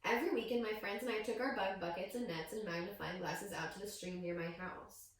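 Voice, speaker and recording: A woman reading a picture book aloud to young children as a teacher, with expressive storytelling and questions to her listeners. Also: mid-range voice, fairly quick, slight background noise. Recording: very echoey room, distant microphone.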